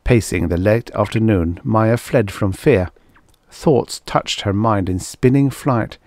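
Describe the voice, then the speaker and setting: A man reading literary science fiction as an audiobook narrator, with measured, expressive delivery and deliberate phrasing. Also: fairly low pitch, no echo.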